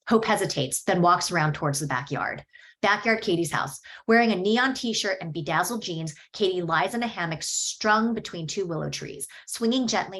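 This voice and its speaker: A woman's voice: lowish voice, fairly bright tone. Woman reading stage directions aloud. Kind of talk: script table read narration.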